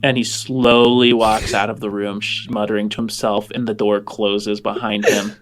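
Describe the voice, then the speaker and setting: A man narrating a tabletop game as game master, improvising in measured phrases with hesitant pauses. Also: fairly low pitch, level tone, slight background noise.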